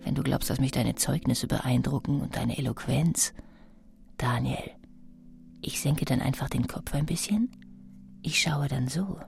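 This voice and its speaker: A woman's voice: rumbling low, measured pace, creaky, darkish tone, soft background music, heavily acted. An actress playing a seductive, taunting woman in an intimate radio play, provocative and half-whispering.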